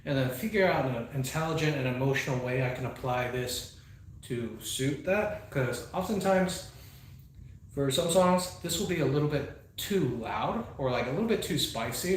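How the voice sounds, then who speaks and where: A man's voice: medium pitch, moderate pace. Man talking in a very echoey room. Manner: reflective, meandering, many fillers. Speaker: speedbag performer and channel host. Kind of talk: casual live chat while training. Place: indoor training space with a speedbag platform. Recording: very echoey room, faint background noise.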